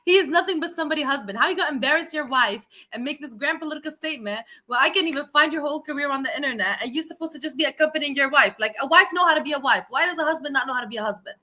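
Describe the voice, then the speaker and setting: A woman's voice: high voice, expressive, rapid-fire, few pauses, bright, forceful tone. A woman co-hosting a podcast, reading out headlines and reacting in an emphatic, indignant casual way. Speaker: podcast co-host. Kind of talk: casual news discussion.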